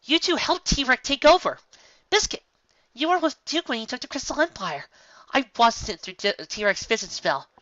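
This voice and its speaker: A woman's voice: high voice, big pitch swings, a little fast, hardly any pauses, no echo, far from the mic, muffled recording, voice pushed up. A woman voicing a panicked young female unicorn princess, screaming and near tears. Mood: frantic. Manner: screaming, frantic, tearful.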